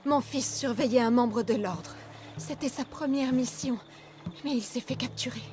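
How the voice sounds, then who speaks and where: A woman's voice: fairly high pitch, normal speed, background noise, natural voice. A woman playing a distraught mother pleading for her captured son in a video game. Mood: anguished, pleading.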